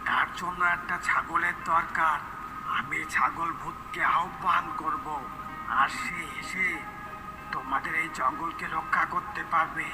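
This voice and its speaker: A man voicing a wise old forest mystic in a cartoon, explaining with deliberate authority. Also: on the high side, steady tone, over music, poor audio. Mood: calm, instructive. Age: older. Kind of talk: cartoon character voice acting.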